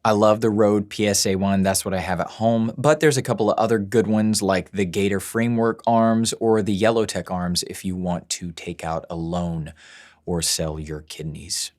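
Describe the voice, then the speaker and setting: A man's voice: sits low, steady tone, moderate pace, a little mellow. A man who runs a voice-over studio, giving a chatty, self-deprecating tutorial from his studio at work. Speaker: voice-over studio owner and teacher. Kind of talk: gear tutorial video.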